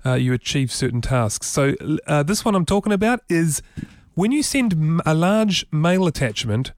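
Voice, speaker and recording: A man's voice: on the high side, sing-song, moderate pace, no room sound. A man hosting a casual tech show from a studio, explaining features enthusiastically with many fillers. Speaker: podcast host. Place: radio studio.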